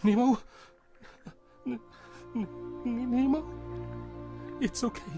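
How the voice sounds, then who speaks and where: A woman's voice: a little high, fairly even intonation, extremely slow, warm tone, soft background music. A woman voicing an anxious, overprotective father clownfish in a fan dub, flustered and stammering. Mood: tender, playful. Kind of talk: amateur fan dub of an animated film.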